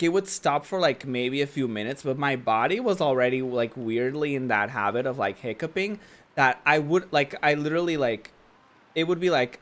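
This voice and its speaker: A hoarse young man streaming a game, rambling casually and saying "like" a lot while he talks over the gameplay. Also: mid-range voice, fairly bright tone. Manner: chatty, rambling, says "like" a lot. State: hoarse, losing his voice. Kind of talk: casual let's-play commentary.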